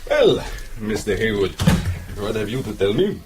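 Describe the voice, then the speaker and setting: An actor playing a sly, mock-polite police captain in a radio drama. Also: male voice, on the low side, sing-song, moderate pace, heavy background noise. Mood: genial. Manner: chuckling, mock-polite, probing. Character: jovial, sly Austrian police captain, male.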